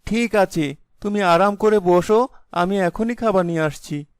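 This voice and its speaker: Man playing a high-voiced, melodramatic young village husband. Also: a little slow, smooth, no room sound, studio quality. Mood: puzzled, meek. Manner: exclaiming, melodramatic. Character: young village husband, blustering but easily cowed. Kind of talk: animated fairy-tale voice acting.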